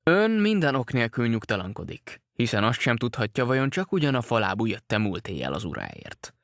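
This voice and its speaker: A cool-headed detective who weighs every clue in a calm, precise voice, as a male reader plays him. The voice sits close to the reader's own middle pitch, and the recording is dry and close. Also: dry recording. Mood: calm reasoning.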